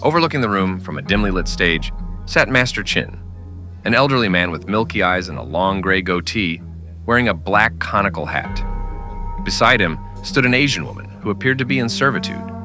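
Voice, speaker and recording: A man narrating a scripted western in old-time radio style, with dramatic, deliberate storytelling. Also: sits low, animated, pushed and bright, soft background music.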